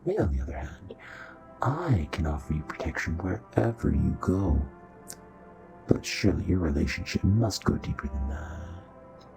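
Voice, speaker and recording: A man playing a charming, possessive, ancient royal vampire in an intimate romantic audio roleplay, speaking in a courtly, seductive, breathy way. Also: low voice, expressive, normal speed. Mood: proud, protective. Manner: courtly, seductive, often breathy half-whisper. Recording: soft voice over background music.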